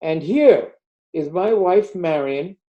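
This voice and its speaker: An older man from India giving a measured, storytelling talk over a video call. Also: fairly high pitch, animated, muffled recording. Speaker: guest speaker. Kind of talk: prepared talk with slides.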